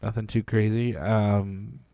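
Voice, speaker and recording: Mellow low-voiced man, joking podcast co-host. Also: level tone, slow, dry recording. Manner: self-deprecating, joking, thoughtful. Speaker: podcast co-host, Air Force veteran. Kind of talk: casual chat.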